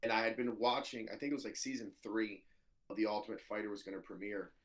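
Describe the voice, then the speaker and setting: A hoarse man in his thirties with a slightly low voice talks in a loose, rambling way. He tells stories as a guest, leaning on fillers and the odd swear word. His throat sounds worn out. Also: fast talker. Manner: loose, filler-heavy storytelling, swears freely. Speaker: jiu-jitsu professor, guest. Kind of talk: casual interview chat.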